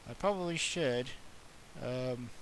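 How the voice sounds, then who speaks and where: A man's voice: medium pitch, slow, a bit bright, a little hiss or hum, low-quality recording. A Canadian man with a Canadian accent, hosting a retro gaming stream in casual, rambling chat. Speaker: retro gaming channel host.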